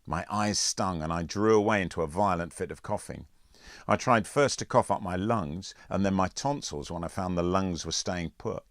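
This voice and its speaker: A man's voice: low voice, normal speed, dry recording, studio quality. A man reading a scripted first-person story as an audiobook narrator. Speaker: author reading own audiobook.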